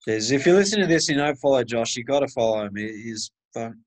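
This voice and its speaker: Thirties host, average pitch, laid-back, agreeable, casual swearing. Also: male voice, animated, fast talker. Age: 30s. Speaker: podcast host. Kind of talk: casual podcast chat.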